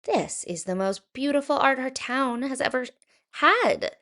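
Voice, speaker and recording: A woman voicing a cheerful, enthusiastic town mayor in a children's picture book, in an animated, proclaiming manner. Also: high voice, a little slow, voice pushed up, exaggerated voice. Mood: delighted.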